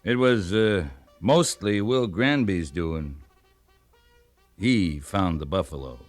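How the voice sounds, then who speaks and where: Low-voiced laconic cavalry captain. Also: male voice, soft background music. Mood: dry, understated. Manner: measured, understated authority. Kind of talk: old-time radio western acting.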